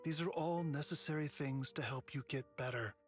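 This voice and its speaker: An actress playing a calm, controlling woman caretaker instructing her charge in a video game. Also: deep voice, moderate pace, lightly acted. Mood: coaxing. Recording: game music under the voice. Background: game music.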